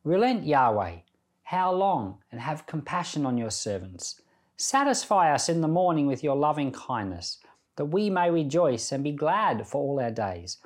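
A middle-aged man giving Bible reading and devotional commentary in an earnest, conversational way with deliberate phrasing. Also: a little high, big pitch swings.

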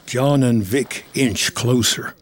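A man's voice: mid-range voice, takes it slowly, slight background noise. A man reading scripted screenplay narration of a film story. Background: music.

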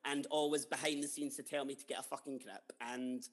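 A man's voice: medium pitch, steady tone, brisk, in a large hall. A Scottish man in his twenties hosting a podcast, chatting casually, swearing freely and laughing along with his guest. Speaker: podcast host. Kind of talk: casual chat.

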